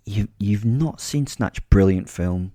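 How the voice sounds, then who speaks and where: A man's voice: on the low side, expressive, takes it slowly, warm tone, no room sound, clean studio sound. A man voicing a sadistic vigilante who gloats and monologues in a pompous, mocking, menacing way, as in an audio story. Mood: smug menace.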